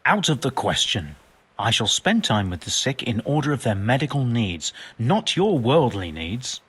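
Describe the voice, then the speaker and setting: An actor playing a learned, pompous but well-meaning and absent-minded monk physician in a video game, speaking in wordy, formal phrases. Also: male voice, mid-range voice, very animated, moderate pace, a bit bright. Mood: grave. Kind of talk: video game cutscene acting.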